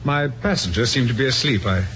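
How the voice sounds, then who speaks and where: A man's voice: medium pitch, expressive, brisk, a bit bright, close to an everyday voice. Man playing an evasive, courteous suspect, faint radio hiss. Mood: nervously explaining. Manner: hesitant, over-explaining, courteous. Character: evasive, polite man in his thirties, smooth suspect. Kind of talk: old-time radio drama acting. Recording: faintly noisy old radio recording.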